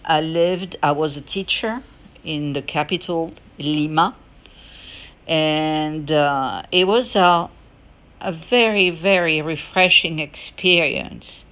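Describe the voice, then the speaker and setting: Low-voiced, slow-speaking woman podcaster. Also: fairly bright tone. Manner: hesitant, halting delivery with pauses. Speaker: podcast host. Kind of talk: unscripted personal monologue. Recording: dull, lo-fi audio.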